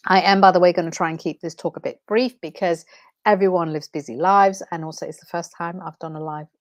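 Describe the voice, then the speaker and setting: A woman's voice: on the low side, steady tone, brisk, no room sound. A woman with a Danish accent, a transformation coach, chatting unscripted and a little nervously on her first livestream, passionate, with fillers and self-corrections. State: slightly nervous.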